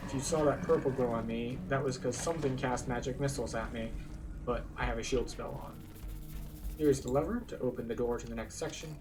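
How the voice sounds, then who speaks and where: A man's voice: medium pitch, brisk, far from the mic, background noise. A man giving a casual, explanatory commentary as he guides viewers through a video game. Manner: explanatory, conversational.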